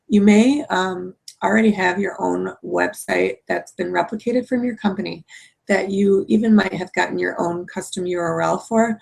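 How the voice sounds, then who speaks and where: A woman coach teaching an online lesson in a hoarse, very gravelly voice left by a cold. She explains patiently, with frequent fillers and restarts. Her pitch sits in a middle range for a woman. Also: darkish tone, poor audio. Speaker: marketing coach. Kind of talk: webinar presentation.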